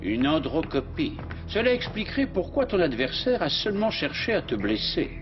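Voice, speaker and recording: A methodical cartoon scientist with a high voice. He explains precisely, in learned phrases. The audio is a little muffled, with music playing behind. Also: very animated, normal speed. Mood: analytical. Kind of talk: French cartoon dub acting.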